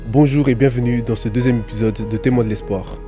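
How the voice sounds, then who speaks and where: A man hosting an interview, introducing his guest and asking questions in a calm style. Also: medium pitch, a little fast, music in the background. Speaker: host, interviewer.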